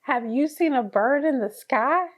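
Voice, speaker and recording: A woman's voice: sits high, expressive, unhurried. A woman reading a picture book aloud to very young children, in a sing-song, encouraging, child-directed way. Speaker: children's story reader. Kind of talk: read-aloud picture book narration for toddlers.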